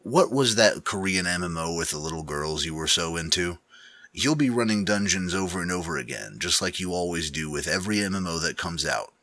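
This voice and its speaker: A man's voice: sits low, expressive, fairly quick, sharp tone, dry recording. Man playing an eager, teasing gamer buddy, punchy voice. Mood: teasing, cheerful. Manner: teasing, persuasive, enthusiastic. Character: eager, cheerful young male pony-fan gamer friend.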